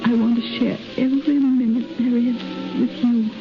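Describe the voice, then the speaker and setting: An actress playing a frail, dying young wife in a 1930s radio drama, tenderly devoted and speaking in halting, broken phrases. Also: female voice, a little high, little pitch movement, natural voice. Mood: wistful. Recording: muffled 1930s radio recording, loud music behind.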